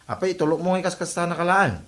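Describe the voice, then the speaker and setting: A man's voice: high voice, fast talker, fairly bright tone. A man with an Ilocano accent playing an easygoing neighbour in a comedy audio drama. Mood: placating.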